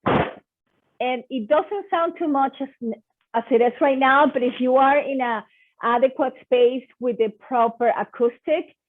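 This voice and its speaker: A woman with a Venezuelan Spanish accent talks with eager, expressive enthusiasm about her passion. Her voice sits slightly high. The audio is tinny and narrow, like a phone call. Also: a little slow. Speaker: guest performer. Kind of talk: casual online presentation.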